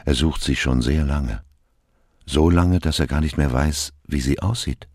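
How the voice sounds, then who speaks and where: A man acting as a grave storyteller in a surreal radio play, narrating slowly with a gravelly voice. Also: darkish tone, no room sound, studio quality, full character voice. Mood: solemn, suspenseful. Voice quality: very deep, gravelly. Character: grave male storyteller and questioner in a surreal myth retelling. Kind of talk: scripted narration, slow storytelling.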